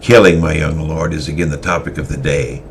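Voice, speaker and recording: Man playing a callous veteran swordmaster in a read-aloud tale: deep, gravelly, commanding. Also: steady tone, fairly quick, slight background noise. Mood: stern, commanding. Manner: commanding, stern. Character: cold, callous, battle-hardened male swordmaster.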